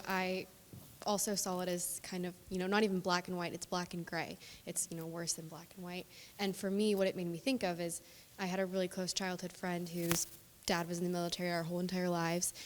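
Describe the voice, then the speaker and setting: A woman's voice: lowish voice, fairly even intonation, a little fast, in a large hall, slight background noise. A young woman sharing an earnest personal reflection in a group discussion. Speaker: discussion participant.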